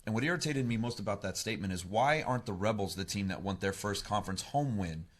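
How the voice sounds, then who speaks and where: A male American sports reporter with a fairly low voice speaks on a panel. He is blunt and insistent, laying out his criticism of a team point by point. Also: fast talker. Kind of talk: opinionated sports discussion.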